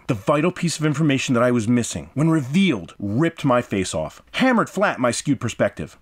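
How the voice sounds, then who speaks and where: A man narrating a scripted game review as host, in a dramatic, emphatic, florid manner. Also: medium pitch.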